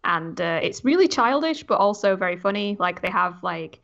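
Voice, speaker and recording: A woman's voice: on the low side, animated, moderate pace, a bit bright, dull audio. A woman chatting casually and giving her opinions as a podcast co-host. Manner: informal, opinionated. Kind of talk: casual chat.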